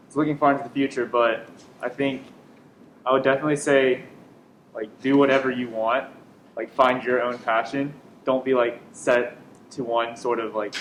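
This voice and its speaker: A man's voice: medium pitch, a bit bright, slight background noise. A young man, a senior student on a panel, speaking casually and hedging his thoughts. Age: young adult. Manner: casual, hedging, lots of "like" and restarts. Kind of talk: panel discussion. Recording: echoey room.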